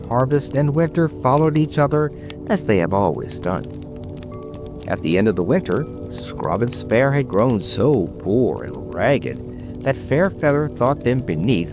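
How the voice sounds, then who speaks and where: A man narrating a scripted bedtime fairy tale dramatically as a storyteller. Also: mid-range voice. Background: background music.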